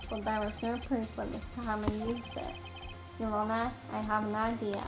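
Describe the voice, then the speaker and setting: A woman's voice: mid-range voice, level tone, moderate pace, natural voice. A woman with an English accent reading the lines of an earnest young male defense lawyer from a courtroom game aloud. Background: game music.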